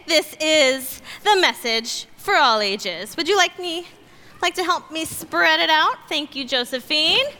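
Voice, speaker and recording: A woman's voice: high-pitched, pushed and bright. A woman leading a children's message in church, cheerful and enthusiastic as she speaks with the kids. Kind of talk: talking to children.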